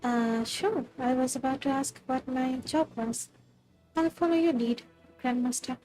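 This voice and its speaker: A woman game streamer with an Indian accent and a high voice. She comments casually and reads along, with faint music behind her. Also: steady tone, a little slow, warm tone, rough recording.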